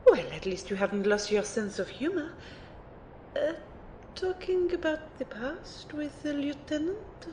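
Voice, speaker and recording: A woman's voice: sits high, lively intonation, measured pace, mellow, slight background noise. A woman playing a haughty but fond mage mentor in an audio drama, with a condescending, theatrical manner. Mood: teasing fondness. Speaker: voice actor in an amateur audio drama. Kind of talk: acted dialogue in an audio drama.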